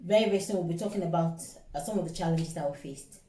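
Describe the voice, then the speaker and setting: A woman's voice: lowish voice, fast, mellow, slight background noise. A middle-aged woman with a Nigerian accent, chatting casually and expressively, repeating words for emphasis. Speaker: co-host of a couple's relationship vlog. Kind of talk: casual storytelling chat. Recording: echoey room, distant microphone.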